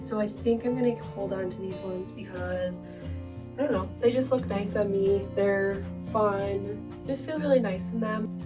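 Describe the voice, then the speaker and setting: A woman in her twenties chatting casually as a lifestyle vlogger, her voice still a little worn from being sick, with lots of "like" fillers. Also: medium pitch. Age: 20s. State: recovering voice after illness. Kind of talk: casual vlog chat. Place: bedroom at home. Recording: distant mic with guitar music behind.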